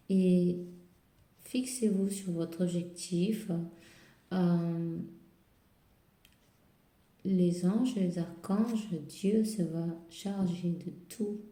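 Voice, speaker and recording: A woman with a slight cold and a hoarse voice, giving hesitant spiritual advice to viewers full of fillers and restarts. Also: fairly low pitch, warm tone. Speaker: tarot and spiritual reader. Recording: roomy echo.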